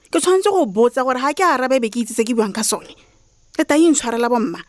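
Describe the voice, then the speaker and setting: A woman's voice: fairly high pitch, expressive, fast, dry recording, clean studio sound, natural voice. An actress playing a traditional, sceptical middle-aged mother with a Botswana accent in a radio drama. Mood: doubtful, puzzled. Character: traditional, doubtful older mother puzzled by modern ways.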